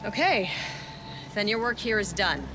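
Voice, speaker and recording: An actress playing a brusque, dismissive government agent with a curt official manner in a video game. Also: female voice, medium pitch, lively intonation, a little slow, close to an everyday voice. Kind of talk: cinematic horror video game acting. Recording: loud noise behind voice.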